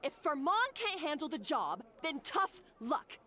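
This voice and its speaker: A woman's voice: high voice, very animated, a little slow, a crowd in the background. A woman voicing a domineering, haughty head chef in a video game, with an imperious, cutting manner. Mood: cold, dismissive. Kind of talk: video game character acting.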